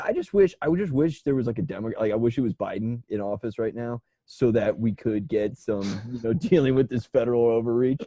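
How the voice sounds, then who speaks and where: Male co-host, American, very mellow dark tone, slangy profane comedy chat, dry and dull recording. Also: medium pitch, no room sound. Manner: slangy, profane, repeats "like" and "man". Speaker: podcast co-host. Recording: dull, close dry audio.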